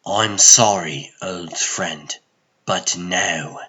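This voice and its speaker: A man voicing a weary, resolute sorcerer in a comic dub, speaking with husky, restrained firmness. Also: deep voice, sharp tone, heavily acted. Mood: quietly resolute. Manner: restrained, measured, firm. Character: weary, resolute sorcerer standing up to an old friend. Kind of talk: dramatic comic-dub acting.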